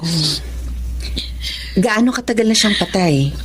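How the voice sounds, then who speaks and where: A woman's voice: medium pitch, expressive, a little slow, a little hiss or hum, natural voice. A Filipino-accented woman playing a professional doctor who is also a wounded wife, in dramatic audio-drama style. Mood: composed, counseling. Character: professional female doctor, composed but wounded betrayed wife.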